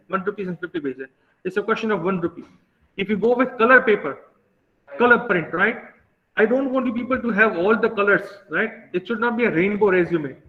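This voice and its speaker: A man with an Indian accent giving classroom instruction as an English teacher, often checking with a short question. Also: high-pitched. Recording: tinny phone-like audio, echoey room.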